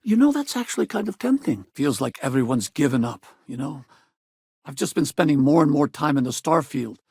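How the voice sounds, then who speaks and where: An actor playing a rugged, wry space pilot in a video game, gravelly and husky, talking in a casual, blunt way. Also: male voice, a little high, lively intonation, fairly quick, darkish tone, dry recording, studio quality, heavily acted. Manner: casual, blunt, plain-spoken. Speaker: video game companion character. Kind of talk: scripted game dialogue and combat barks.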